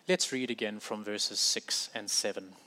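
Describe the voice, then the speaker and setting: A man's voice: on the low side, steady tone, a little slow, bright, forceful tone. A man with a South African accent preaching a sermon in a conversational way, correcting himself and adding light humorous asides.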